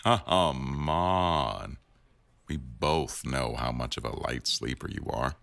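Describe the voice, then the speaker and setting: A man playing a teasing, bossy but doting newsroom boss in an intimate boyfriend roleplay audio, with a wry, unhurried delivery. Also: extremely low pitch, gravelly, fairly bright tone, dry recording, full character voice. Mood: fond, teasing.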